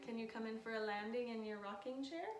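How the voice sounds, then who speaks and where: A woman with a very high voice co-hosts storytime for little kids. She gives simple prompts and questions in a roomy, echoey space over loud guitar music. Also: fairly even intonation, fast. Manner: prompts young children with questions and instructions. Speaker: children's storytime co-host. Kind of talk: children's singalong presenting. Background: acoustic guitar music.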